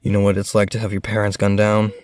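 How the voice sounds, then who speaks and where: A man playing a brooding rich teenage boy in an audio drama. The voice sits low, and the delivery is earnest and a little hesitant. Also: completely flat delivery, fast, a little mellow, smooth, lightly acted. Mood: sympathetic.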